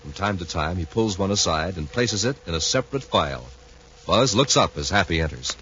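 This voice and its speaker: An actor serving as the measured, dramatic narrator of a 1950s space adventure radio serial. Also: male voice, deep voice, animated, pushed and bright. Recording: muffled 1950s radio, music behind.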